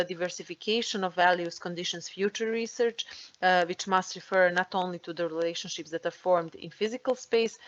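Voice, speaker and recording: A woman giving a formal academic presentation in a measured, hesitant way over a lo-fi online call. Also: on the low side, a bit bright.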